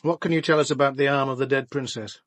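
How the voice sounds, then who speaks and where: An actor playing a measured, dryly sceptical police inspector questioning suspects in a radio play. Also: male voice, mid-range voice, fairly even intonation, no room sound, lightly acted. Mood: businesslike.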